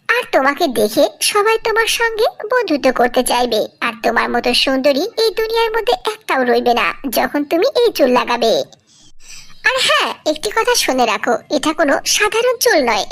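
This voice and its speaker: A woman as a high, lilting kindly fairy. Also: a bit bright, full character voice. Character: kindly, helpful blue fairy, female. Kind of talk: cartoon voice acting.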